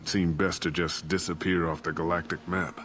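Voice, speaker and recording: A man's voice: sits low, flat delivery, moderate pace, fairly bright tone, a little hiss or hum. A middle-aged man playing a disgraced, evasive ship captain and father in a video game, talking defensively and justifying himself. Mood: self-justifying. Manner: defensive, rationalizing. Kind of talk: dramatic video game voice acting. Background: cinematic background music.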